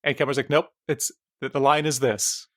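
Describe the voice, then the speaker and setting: A man's voice: mid-range voice, steady tone, fairly quick, fairly bright tone, no room sound, clean studio sound. A man co-hosting a casual film podcast, talking in an offhand, joking way. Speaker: podcast co-host.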